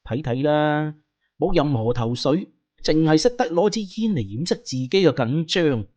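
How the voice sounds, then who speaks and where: A man's voice: on the high side, expressive, moderate pace, darkish tone. A man playing a hot-tempered young police assistant, scornful and combative, in an audio drama. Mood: sneering. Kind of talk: Cantonese audio drama acting.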